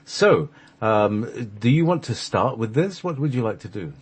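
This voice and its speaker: A man with a husky voice at an average pitch hosts a talk show. He chats casually and without a script, rambling and often stammering back to restart a phrase. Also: lively intonation, unhurried. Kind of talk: casual unscripted chat.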